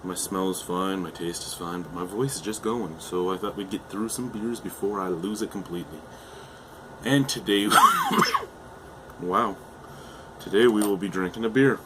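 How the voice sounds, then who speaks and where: A man's voice: sits low, moderate pace, background noise. A young man from Canada reviewing a beer in a casual, chatty way, losing his voice and often restarting his sentences with fillers.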